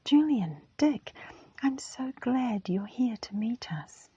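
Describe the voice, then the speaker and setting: A woman voicing a feisty, forthright tomboy schoolgirl in a storybook, in a breathy whisper. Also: on the high side, lively intonation, takes it slowly, dark tone, dull audio, pitched above the performer's own voice, exaggerated voice. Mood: excited. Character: feisty, easily offended tomboy schoolgirl, George. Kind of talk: whispered storybook character reading.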